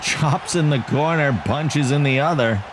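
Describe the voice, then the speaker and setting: A man giving excitable wrestling play-by-play commentary for a video game league, joking about himself between calls. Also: fairly high pitch, unhurried. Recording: game crowd and noise behind the voice. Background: video game arena crowd.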